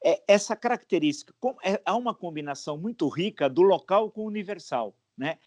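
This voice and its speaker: Discursive historian host, dry close sound. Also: male voice, high-pitched, animated, fairly quick, a little mellow, no room sound. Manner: discursive, explanatory. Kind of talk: interview questions.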